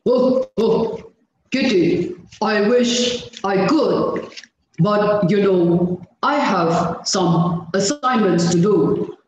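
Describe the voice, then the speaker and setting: Jolly ho-ho-laughing monkey played by a man; high and bouncy, dull lo-fi call sound with faint noise. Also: extremely slow. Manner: booming ho-ho laughs, sing-song. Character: jolly male monkey Monty, chuckling and kind. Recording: echoey dull video-call audio.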